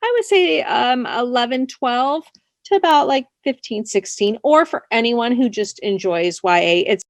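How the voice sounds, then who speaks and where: A woman's voice: on the high side, lively intonation, a little slow, dry recording. A woman speaking casually as an author being interviewed.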